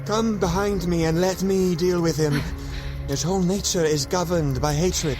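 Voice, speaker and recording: A man's voice: high-pitched, steady tone, normal speed, music in the background, close to an everyday voice. A man playing a proud, desperate scientist in an audio drama, with formal, archaic, commanding speech. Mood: alarmed, commanding. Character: proud, desperate scientist, hostile to his creation.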